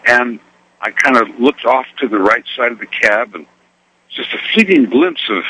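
Male radio caller, unhurried storyteller, very varied intonation, raspy edge, conversational and folksy. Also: mid-range voice, sharp tone, poor audio. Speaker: radio show caller, trucker.

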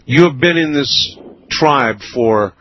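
A man hosting a radio call-in show, speaking in a slow, deliberate, measured way with pauses. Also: mid-range voice, expressive, muffled recording. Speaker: radio talk show host. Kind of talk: late-night radio call-in interview.

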